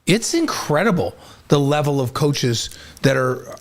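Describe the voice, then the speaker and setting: Higher-voiced man co-hosting sports talk. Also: big pitch swings, a little slow. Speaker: sports show co-host.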